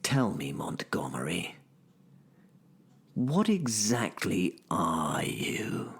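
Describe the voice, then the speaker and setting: A man voicing an old male occult professor, speaking slowly in a hoarse, knowing whisper. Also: low voice, wildly swooping pitch, a bit bright, pitched below the performer's own voice, heavily acted. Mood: suspicious. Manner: slow, knowing, menacing.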